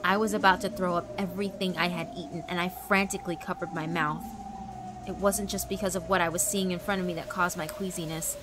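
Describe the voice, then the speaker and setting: A woman giving a measured, expressive, scripted narration of a horror story. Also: fairly low pitch. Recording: background music under the voice.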